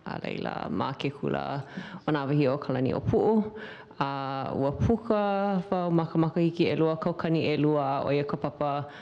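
A woman in her thirties from Hawaii, answering on a conference panel into a handheld mic, measured and pausing often. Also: sits low, darkish tone. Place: large conference hall. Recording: muffled conference PA sound.

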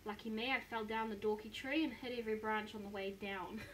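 A woman's voice: medium pitch, steady tone, a little fast, fairly bright tone, slight background noise, low-quality recording. A woman in her twenties with a New Zealand accent, chatting casually to a phone camera in her bedroom, self-mocking and upbeat. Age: 20s. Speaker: vlogger. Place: small bedroom, indoors. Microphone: phone camera close to the face.

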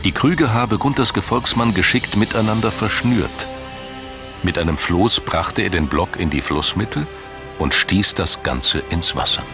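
Very deep-voiced male documentary narrator. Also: a little slow. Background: background music.

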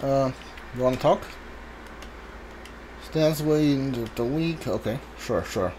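A man's voice: medium pitch, slow pace, a little mellow, slight background noise, low-quality recording. A man giving casual let's play commentary, muttering reactions and reading item names aloud as he plays. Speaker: video game player streaming a playthrough.

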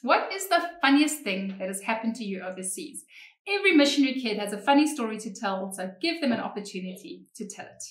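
A woman's voice: on the high side, brisk. A woman in her forties from South Africa, a missionary mother presenting a scripted segment at home. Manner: lively, animated presenter. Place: home dining room, indoors. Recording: echoey room.

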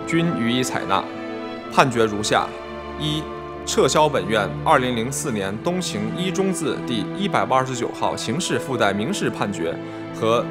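Male actor as solemn judge, declamatory and measured, expressive pitch swings, strong music underneath. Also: natural voice. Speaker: judge reading a verdict. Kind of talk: formal courtroom verdict reading.

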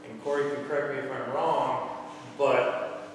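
A man's voice: mid-range voice, fairly even intonation, measured pace. Man explaining plans in an echoey fire station. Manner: plain explanatory, talks through details. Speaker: fire department officer. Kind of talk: answering questions at a public meeting. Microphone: far camera. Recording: echoey hall, distant mic, background noise.

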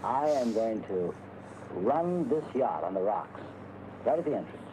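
A man in a 1960s film, delivering dialogue and voice-over narration in a smooth, wry, self-assured way. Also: fairly low pitch, sing-song, unhurried. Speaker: actor in an old film. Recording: old film soundtrack with clear hiss.

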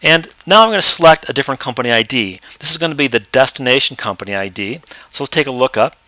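A man explaining step by step how to use accounting software in a screen-recorded tutorial. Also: mid-range voice, lively intonation, quick pace, no room sound. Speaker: software trainer.

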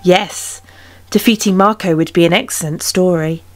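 A woman's voice: on the low side, moderate pace, natural voice. A woman playing a proud young exiled warrior queen in a game fan dub, firm and formal. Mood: composed. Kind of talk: fan dub voice acting of a fantasy story game. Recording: music behind the voice.

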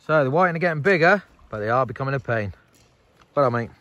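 A man in his thirties chatting casually through a fishing vlog, relaxed and full of fillers, outdoors on a beach at night and later at home. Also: medium pitch, quick pace, lo-fi sound. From England. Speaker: angling vlogger.

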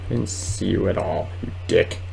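A young man giving casual, excitable commentary while playing a horror game, muttering and exclaiming to himself. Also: deep voice, normal speed, creaky, a little hiss or hum, rough recording. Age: young adult. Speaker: gamer recording a let's play. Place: small indoor room at home.

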